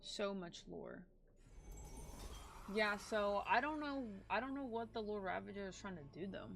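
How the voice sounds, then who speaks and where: A woman's voice: mid-range voice, lively intonation, unhurried, creaky, slight background noise. A woman game streamer giving blunt, casual livestream reaction commentary, swearing freely. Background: video game music.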